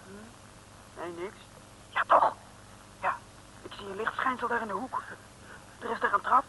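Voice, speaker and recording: A woman's voice: mid-range voice, steady tone, a little slow, bright, forceful tone, rough recording. An actress playing a pert, polite young female detective's assistant, nervy but observant, in 1960s comic radio play style. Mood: nervous. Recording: hissy old radio recording.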